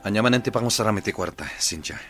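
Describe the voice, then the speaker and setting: A man's voice: lowish voice, racing, music in the background, natural voice. A man playing an exasperated, reproachful husband in a Filipino radio drama, speaking with a Filipino accent.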